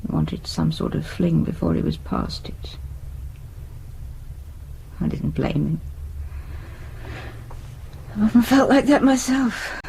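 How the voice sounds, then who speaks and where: A woman's voice: fairly low pitch, big pitch swings, slow pace, gravelly, pauses often, warm tone, slight background noise, heavily acted, low-quality recording. An actress playing a resigned, anxious middle-aged British wife and mother in a naturalistic radio drama, hesitant and wistful.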